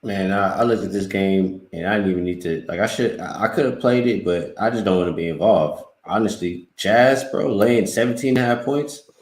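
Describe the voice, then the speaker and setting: A man's voice: sits low, brisk. A man on a sports betting panel talking bluntly and emphatically in casual slang, recorded in an echoey room.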